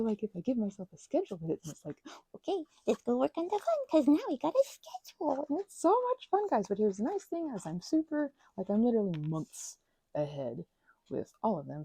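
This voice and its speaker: A woman's voice: medium pitch, gravelly, dark tone, husky, no room sound, poor audio. A woman chatting in a rambling, casual way while she prepares craft materials, full of fillers and restarts. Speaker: ASMR and audio creator.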